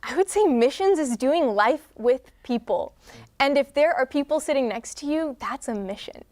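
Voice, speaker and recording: A woman's voice: high voice, lively intonation, darkish tone. A young woman speaking earnestly and reflectively as a guest in a faith interview. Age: young adult. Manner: earnest, measured, devotional. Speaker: guest, student leader.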